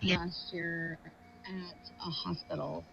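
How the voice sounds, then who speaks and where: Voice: mid-range voice, little pitch movement, slow, vocal fry, bright, forceful tone, lo-fi sound, a little hiss or hum. A person talking on the radio, heard through shortwave reception.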